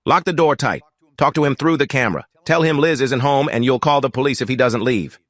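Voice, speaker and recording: A man's voice: mid-range voice, brisk, fairly bright tone, no room sound, pitched above the performer's own voice, natural voice. A man voicing a stoic veteran medic, a protective father who speaks in a controlled, firm and commanding way. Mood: calm, firm.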